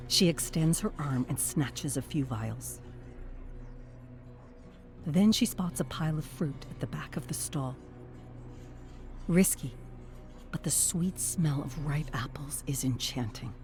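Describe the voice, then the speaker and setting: A narrator reading a scripted story in a dramatic, measured and suspenseful manner. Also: mid-range voice, expressive, a little mellow, faint music behind.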